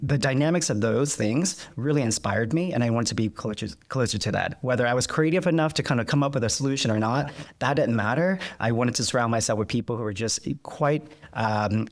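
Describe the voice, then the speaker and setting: A man's voice: mid-range voice, a little fast, few pauses. A man whose was Vietnamese, a guest in a conversational interview, speaking reflectively with many fillers and restarts. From United States.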